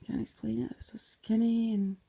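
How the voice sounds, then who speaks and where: A woman's voice: fairly high pitch, level tone, a little fast, creaky, dark tone, slightly rough, poor audio. A Scottish woman casually retelling a dream, rambling, slipping into whispered asides and swearing freely.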